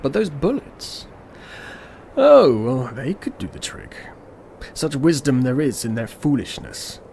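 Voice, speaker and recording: An actor playing a calm, smug, world-ending destroyer in radio drama style, unhurried, menacing and condescending. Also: male voice, medium pitch, creaky, slight background noise, full character voice. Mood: musing, mocking. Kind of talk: old-school radio drama acting.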